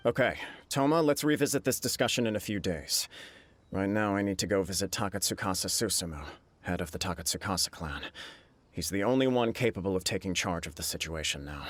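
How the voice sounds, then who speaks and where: A man's voice: low voice, brisk. An actor playing an earnest young male clan head, serious and self-blaming. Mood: resolved. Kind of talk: video game voice acting.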